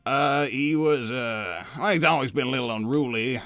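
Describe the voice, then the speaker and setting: A man's voice: mid-range voice, moderate pace, fairly bright tone, no echo, lightly acted. A man voicing an old hedgehog uncle in a cartoon interview, hesitant and evasive, stammering and repeating himself as he rambles. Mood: wistful, evasive. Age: older. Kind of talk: improvised in-character interview.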